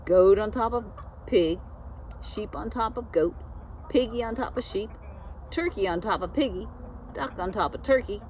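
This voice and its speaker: A woman reading a children's storybook aloud, expressively and with dramatic pauses. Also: mid-range voice, slight background noise. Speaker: school media specialist reading aloud.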